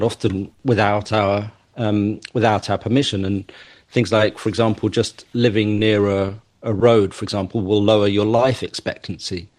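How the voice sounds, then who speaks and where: Male interview guest, lowish voice, thoughtful, stops and restarts often. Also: a little mellow. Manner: hesitant, thoughtful, with many fillers and restarts. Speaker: musician and competition judge.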